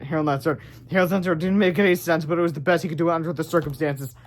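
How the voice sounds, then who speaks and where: A man reads a children's book aloud. His voice sits a bit higher than most men's as he narrates the story. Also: fairly even intonation, fast. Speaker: storybook reader.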